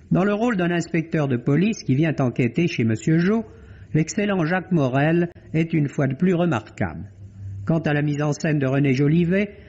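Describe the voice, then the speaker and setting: A man with a slightly high, husky voice delivers a scripted radio review in an articulate, emphatic way. His tone is dark and soft-edged, and the sound is dull, like vintage radio. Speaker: radio film critic. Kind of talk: scripted review, old French radio broadcast.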